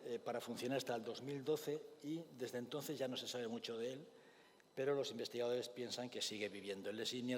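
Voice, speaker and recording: A man with a Spanish accent speaks in a measured, reflective lecture voice of middle pitch, often pausing with small hesitation fillers. His voice rings with the long reverb of a large hall, over a faint hiss of background noise. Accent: Spain. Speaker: clinician giving a conference talk. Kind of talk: forum lecture with storytelling.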